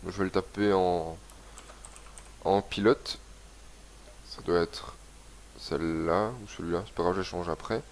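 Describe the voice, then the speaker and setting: A man's voice: low voice, fairly even intonation, unhurried, pauses often. Congested young man with a cold. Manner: rambling, reads game text aloud. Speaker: gaming video host. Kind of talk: casual let's-play commentary.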